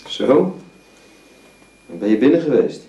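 A man's voice: medium pitch, expressive, slow, warm tone, highs cut off. A man playing a courteous, somewhat formal middle-aged father in a radio drama. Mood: curious.

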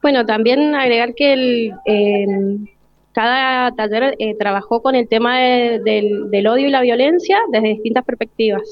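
An Argentine woman, a teacher, talking informally in a colloquial, reflective and halting way. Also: a little high, no echo, dull audio. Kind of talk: informal interview talk.

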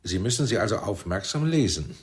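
An actor playing a shrewd, paternal intelligence chief in a German radio play, measured and persuasive, with a husky voice. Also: male voice, lowish voice, expressive. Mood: smooth, persuasive.

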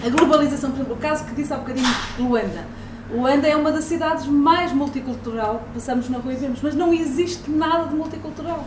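European Portuguese woman, academic, high pitch, hesitant delivery, far from mic with noisy room. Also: fast talker, roomy sound. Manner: hesitant, thinking aloud. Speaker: university lecturer. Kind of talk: public debate. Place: hall at a public debate.

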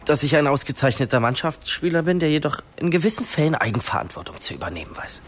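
A man's voice: on the high side, sing-song, fast talker. An actor playing an eager, cheeky but awkward seventeen-year-old boy in a radio drama, over-earnest and prone to stumbling. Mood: eager, polite.

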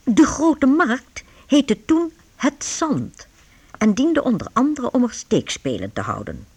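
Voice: mid-range voice, very animated, takes it slowly, darkish tone, breathy edge. A narrator reading scripted storytelling for a radio play aimed at young listeners.

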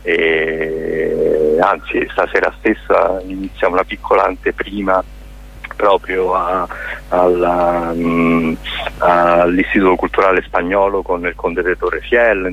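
A man with a very low, husky voice speaks spontaneously in a radio interview. He is a festival director, talking in long, winding phrases and often pausing to find the right word. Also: little pitch movement, highs cut off. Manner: hesitant, discursive, searching for words with frequent verbal hedges.

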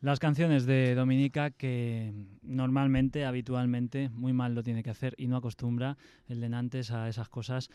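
A man's voice: medium pitch, little pitch movement, moderate pace, few pauses, mellow, smooth, no echo. A man from hosting a radio music show, chatting casually and jokingly with frequent hesitant fillers.

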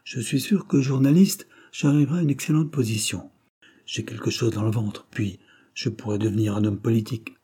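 Young storybook suitor, read by a man: earnest and respectful, formal phrasing, mellow husky voice. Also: mid-range voice, normal speed, close to an everyday voice. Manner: sincere, formal, deferential.

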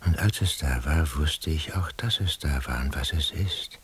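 An actor playing a haunted, pensive man in a radio drama, musing haltingly in a husky, gravelly, hushed voice. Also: very deep voice, full character voice. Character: haunted, pensive man straining after faint impressions. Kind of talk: radio drama interior monologue.

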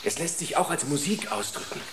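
An actor in a German radio drama playing an earnest, approving musician. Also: male voice, fairly high pitch, big pitch swings, brisk. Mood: keen agreement. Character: earnest male musician, approving fellow artist. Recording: clearly noisy ambience behind the voice.

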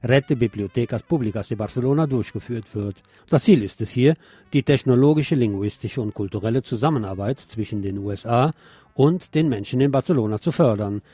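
Mellow male news presenter in a roomy space. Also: medium pitch, brisk, slightly rough. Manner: opinionated, explanatory asides. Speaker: radio news presenter and commentator. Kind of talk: scripted news reading with commentary.

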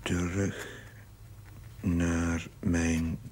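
Very slow, low-voiced pensive chaplain. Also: male voice, flat delivery, a little mellow. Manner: slow, halting, solitary musing. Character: pensive chaplain writing in his diary. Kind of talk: television drama monologue. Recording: faintly noisy old TV soundtrack.

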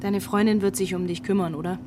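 A woman's voice: mid-range voice, fairly even intonation, fast, noisy background, lightly acted. An actress playing a probing, concerned young woman in a radio drama, with a direct, questioning manner. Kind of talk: naturalistic radio drama acting.

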